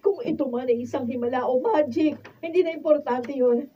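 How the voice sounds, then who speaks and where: A woman's voice: sits high, brisk, mellow, far from the mic, lo-fi sound, a little hiss or hum, lightly acted. An actress with a Filipino accent playing a poor, devout wife and mother in a radio drama, emotional and exclamatory. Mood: relieved, grateful.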